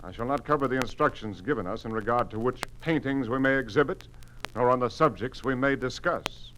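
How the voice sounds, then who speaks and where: An actor playing a principled, frustrated museum director in a radio drama, speaking formally in a measured, emphatic way. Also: male voice, mid-range voice, a bit bright, close to an everyday voice. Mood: grave, formal. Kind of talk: mid-century radio drama acting. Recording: noisy old radio recording.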